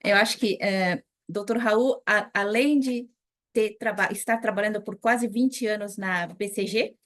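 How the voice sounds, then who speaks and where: A woman with a Brazilian accent moderates a panel interview. Her voice sits at a middle pitch. She is friendly and pauses often with small fillers. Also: a bit bright. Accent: Brazilian Portuguese.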